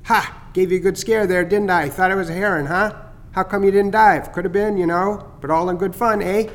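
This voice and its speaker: A man's voice: high-pitched, fairly even intonation, lightly acted. A man voicing a motor-mouthed, cheeky male starling who rambles and jokes. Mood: chatty. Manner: rapid, jokey, rambling.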